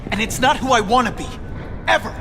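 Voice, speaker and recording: A man's voice: extremely high pitch, animated, brisk. A young actor playing a principled young male superhero in a video game, earnest and direct. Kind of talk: video game cutscene acting. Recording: game audio with music. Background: music.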